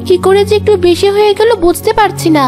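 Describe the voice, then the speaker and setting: A woman voicing a mistreated young village wife and mother in an animated horror story, submissive and pleading. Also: extremely high pitch, fast talker. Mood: meek. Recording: music under the voice.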